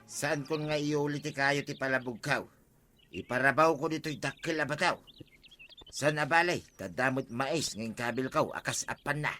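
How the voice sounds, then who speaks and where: A man's voice: medium pitch, moderate pace, pushed and bright, close to an everyday voice. A man playing a doubting, quarrelsome village sidekick with an Ilocano accent in a radio comedy drama.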